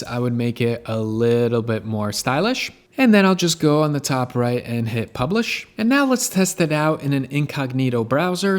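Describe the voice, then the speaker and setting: A man hosting a casual software tutorial, explaining each step in a conversational way. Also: mid-range voice. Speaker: tutorial host.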